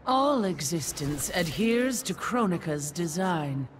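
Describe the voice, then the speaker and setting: Grave, judgmental goddess. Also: female voice, on the low side, very animated, slow pace, noisy background. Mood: solemn judgment. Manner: formal, grave, declarative. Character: stern, judgmental goddess, solemn and righteous. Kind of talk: video game fight intro dialogue.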